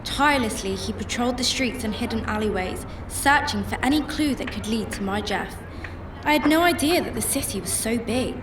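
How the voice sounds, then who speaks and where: High-voiced grieving mother played by an actress, emotional. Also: expressive, noisy background, natural voice. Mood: reflective, wistful. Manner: halting, emotional delivery with broken sentences. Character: grieving mother searching homeless shelters for missing husband. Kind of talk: radio drama acting and first-person narration. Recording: music under the voice.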